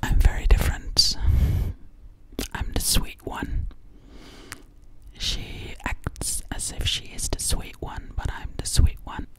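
A sweet, slightly sassy alien girl played by a young woman whispers in a soothing ASMR roleplay. She speaks extremely slowly and breathily, right up close to the microphone, lingering on words and repeating them. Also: lightly acted, rough recording. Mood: sweet, coy. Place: small dim living room, indoors. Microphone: close studio microphone with foam cover, binaural.

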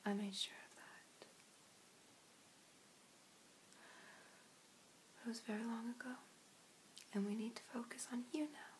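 A young woman in her twenties playing an ancient, protective vampire mentor in a whispered, soothing ASMR roleplay, with breathy, hushed delivery and long pauses. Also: fairly high pitch, steady tone, slight background noise, lightly acted, low-quality recording. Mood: wistful, intimate. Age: 20s. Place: small indoor room.

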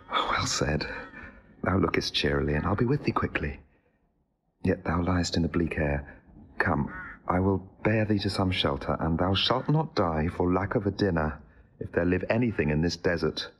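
A deep-voiced earnest young noble. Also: male voice, expressive, normal speed, fairly bright tone, a little hiss or hum. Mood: encouraging. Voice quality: tender, encouraging. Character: earnest young nobleman caring for his servant. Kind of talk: classical verse drama.

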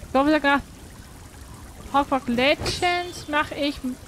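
A woman's voice: high-pitched, takes it slowly, a bit bright, slight background noise. A woman chatting casually as a companion alongside someone playing a video game. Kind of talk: casual chat during gameplay.

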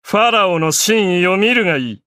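A man's voice: high voice, expressive, normal speed, bright, forceful tone, dry recording, clean studio sound. An actor playing a proud, imperious young pharaoh and godlike sun king in an anime game, speaking in grandiose, archaic phrases. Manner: pompous, grandiose, archaic speech.

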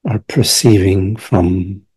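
A man guiding a meditation as its teacher, speaking softly and breathily in a hushed, unhurried way with long pauses between short phrases. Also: deep voice, breathy edge. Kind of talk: guided meditation.

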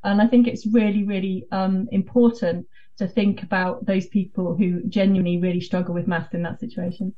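A woman's voice: medium pitch, flat delivery, brisk. A woman with a British accent explaining things as a guest in a radio interview. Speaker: interview guest, dyscalculia network co-founder. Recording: dull, slightly distant remote link.

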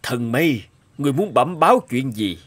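A man voicing a stern, imperious old heavenly emperor in an animated fairy tale, speaking formally and with command. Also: mid-range voice, moderate pace. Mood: questioning. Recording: music under the voice.

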